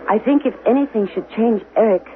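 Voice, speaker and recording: A woman's voice: a little high, fairly quick, phone-quality sound, lightly acted. A noisy, band-limited 1970s radio drama recording of an actress playing a troubled mother full of doubt. The woman speaks pensively and hesitantly, as if unsure of her own thoughts. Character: troubled, doubting mother in a controlled future society.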